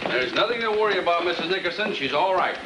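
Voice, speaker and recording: Clipped American cop, quick, lively pitch, matter-of-fact, echoey 1950s film. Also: male voice. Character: brisk, matter-of-fact police officer reassuring parents. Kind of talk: 1950s film drama acting. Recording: roomy, noisy old film soundtrack.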